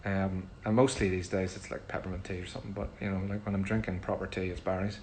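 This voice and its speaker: Chatty Northern Irish man, low voice. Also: fast talker, slight background noise. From Northern Ireland. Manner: relaxed, chatty, frequent ums. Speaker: musician answering fan questions. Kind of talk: casual livestream Q&A.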